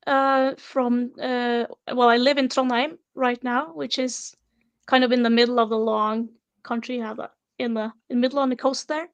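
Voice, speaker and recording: A woman's voice: a little high, steady tone, normal speed, smooth, lo-fi sound. A woman in her forties from Norway, a podcast guest talking plainly and hesitantly with fillers. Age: 40s. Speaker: guest, teacher and scenario writer. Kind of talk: casual podcast chat.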